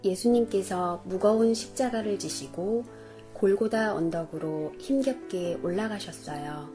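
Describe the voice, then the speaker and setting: Female storybook narrator for children, gentle and polite, medium pitch, music underneath. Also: unhurried.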